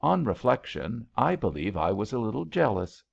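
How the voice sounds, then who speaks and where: A man's voice: fairly low pitch, very animated, normal speed, vocal fry, exaggerated voice. A man voicing a worldly, protective young man in an audiobook love story, wry and cautioning. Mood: wry, urging. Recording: slightly dull, lo-fi audiobook recording.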